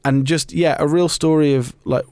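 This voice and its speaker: A man's voice: fairly high pitch, fairly even intonation, normal speed, a little mellow, no room sound. A man presenting a podcast in an informal, discursive and thoughtful conversational way. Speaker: podcast host.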